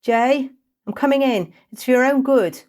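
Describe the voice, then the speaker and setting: A woman voicing an excitable, easily exasperated young trainee witch in a storybook. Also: sits high, moderate pace, voice pushed up, close to an everyday voice. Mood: alarmed, urgent.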